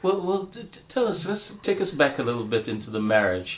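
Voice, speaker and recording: A man's voice: mid-range voice, very animated, fast talker. A man hosting a casual interview in a couple's home, chatty and laughing along with his guests. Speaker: podcast host. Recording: tinny phone-like lo-fi sound.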